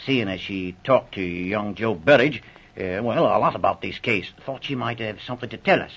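A man's voice: on the low side, moderate pace, fairly bright tone, breathy edge. An actor playing a flustered, humble police subordinate and father in an old radio drama, with hesitant, folksy, ungrammatical speech. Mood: earnest, deferential. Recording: muffled old 1940s radio recording.

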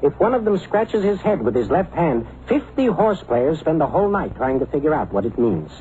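A man's voice: fairly high pitch, big pitch swings, moderate pace, warm tone. A man playing a chatty, shrewd neighborhood bookie with clipped, breezy patter in a vintage radio drama. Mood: sly, chatty. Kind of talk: 1950s radio drama acting. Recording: muffled, hissy old radio recording.

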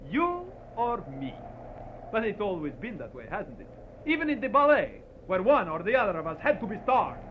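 An actor playing a murderous, half-crazed ballet dancer with theatrical menace in a 1940s radio melodrama. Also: male voice, sits high, big pitch swings, moderate pace. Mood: menacing, bitter. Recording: muffled, noisy old radio recording.

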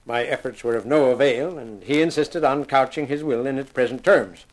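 An actor playing a formal, pompous family lawyer with precise diction in a 1950s radio drama. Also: male voice, mid-range voice, normal speed, close to an everyday voice, low-quality recording. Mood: dry, formal. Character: formal, stuffy, lean male family lawyer.

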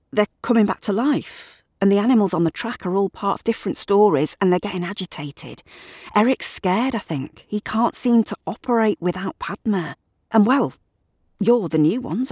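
A woman's voice: medium pitch, a little mellow, husky, dry recording, studio quality, pitched above the performer's own voice. A woman voicing an agitated, argumentative middle-aged man, talking in heated, sarcastic bursts. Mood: urgent.

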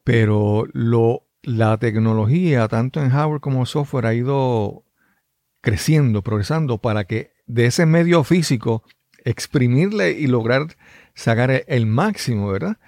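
A man's voice: mid-range voice, lively intonation, unhurried, a little mellow, no echo, studio quality. A man with a Puerto Rican accent hosting a conversational interview, working his way wordily toward his questions. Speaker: interviewer, host.

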